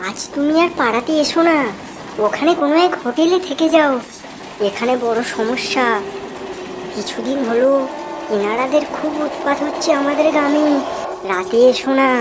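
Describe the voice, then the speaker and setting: Woman playing a high-voiced worried villager. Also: normal speed, pauses often, noisy background, close to an everyday voice. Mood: anxious warning. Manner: colloquial, anxious, questioning.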